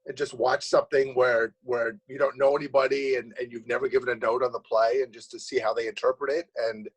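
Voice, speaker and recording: A man's voice: medium pitch, breathy edge, poor audio. A man answering interview questions as a playwright on a video call, casually rambling and often restarting his phrases. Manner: rambling, halting with repeated starts.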